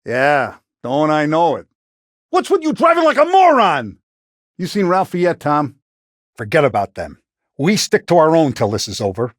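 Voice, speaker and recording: A commanding Italian-American mafia boss from a 1930s gangster video game. His middle-aged male voice moves widely up and down in pitch, recorded dry and close. He talks in blunt street slang, with authority and a vengeful edge. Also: wildly swooping pitch, normal speed, no room sound. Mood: measured, then exasperated, angry.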